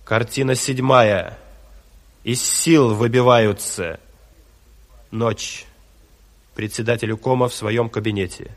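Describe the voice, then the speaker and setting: A man's voice: medium pitch, steady tone, takes it slowly, long pauses. A man narrating scene directions for a radio play in a scripted style. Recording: reverberant 1970s radio play.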